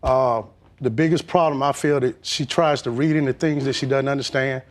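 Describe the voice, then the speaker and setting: A man testifying on a court show, hesitant and defensive, filling pauses with "um". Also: medium pitch, fairly even intonation.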